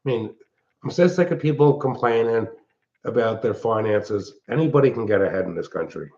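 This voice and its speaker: An older man chats on a late-night livestream, his voice loud and pitched on the low side. He talks in a blunt, rambling, irritable way, speaking from a big open-plan house. The audio is dull and lo-fi. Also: expressive, brisk. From Pennsylvania. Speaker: livestream host. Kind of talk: casual livestream chat.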